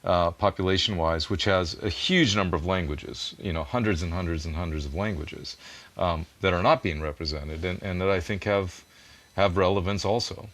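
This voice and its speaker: A man's voice: deep voice, moderate pace, fairly bright tone. A man guest in a conversational interview, earnestly explaining things at length and poking fun at himself, a native English speaker. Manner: earnest, explanatory, self-deprecating. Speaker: music producer and interview guest.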